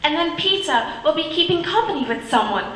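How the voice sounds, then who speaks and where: A woman's voice: high voice, animated, a little fast, fairly bright tone. A woman as a teasing young daughter. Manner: teasing, playful. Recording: roomy, distant from the mic.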